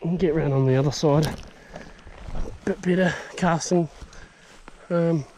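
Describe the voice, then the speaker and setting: Young man, New Zealand accent, slightly high voice, casual outdoor commentary, action-camera mic, faint noise behind. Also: takes it slowly, low-quality recording. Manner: excitable, slangy running commentary while fishing. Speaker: fishing vlogger. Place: outdoors by a high country pond. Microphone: action camera mounted on the body.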